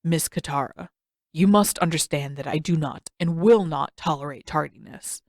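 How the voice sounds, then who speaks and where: A woman's voice: sits low, moderate pace, darkish tone, no room sound, clean studio sound, pitched below the performer's own voice. A woman voicing a stern, stubborn old male master in a storybook, speaking in a formal, icy manner. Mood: icy, stiff.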